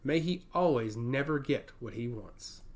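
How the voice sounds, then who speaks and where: Man as spiteful fantasy woman, smiling, ceremonious, animated pitch. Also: a little slow, close to an everyday voice.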